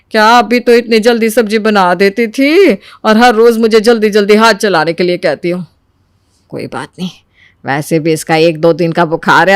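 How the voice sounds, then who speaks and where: A woman playing a wary middle-aged Indian mother-in-law in an animated moral story, grumbling in exasperated asides and retorts. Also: mid-range voice, dry recording, clean studio sound, lightly acted.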